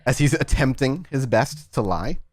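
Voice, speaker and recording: A man's voice: medium pitch, lively intonation, normal speed, a little mellow. A man narrating a tabletop horror game as storyteller, in dramatic style.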